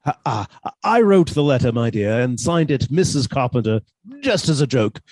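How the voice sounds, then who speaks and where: A man's voice: medium pitch, animated, normal speed, a little mellow, no echo, close to an everyday voice. A man playing a mannerly, henpecked professor who is secretly a scheming killer, with formal old-fashioned phrasing, in a radio suspense play. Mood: jovial, evasive. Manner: formal, old-fashioned turns of phrase, hesitant when flustered.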